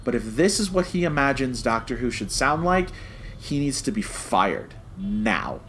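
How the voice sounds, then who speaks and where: A man's voice: medium pitch, animated, unhurried, a bit bright, a little hiss or hum. A man co-hosting a podcast, giving an opinionated review in an emphatic way, repeating phrases to drive points home. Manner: emphatic, repeats phrases for stress, checks with "okay?". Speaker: podcast co-host.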